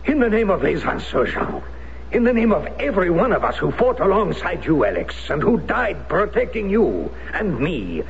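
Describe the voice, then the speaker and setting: An actor playing a persuasive, insistent former French Resistance fighter in a radio drama, speaking with theatrical, formal urgency. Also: male voice, high-pitched, very animated, moderate pace, gravelly, full character voice. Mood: urgent pleading. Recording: dull noisy old radio broadcast recording.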